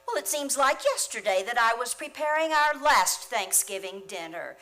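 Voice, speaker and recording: A woman's voice: a little high, big pitch swings, moderate pace, sharp tone. A woman playing a chatty, rueful landlady in an old-time radio comedy. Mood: wistful, chatty. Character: chatty landlady, fretting over lean holiday dinners. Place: theater stage, live radio play.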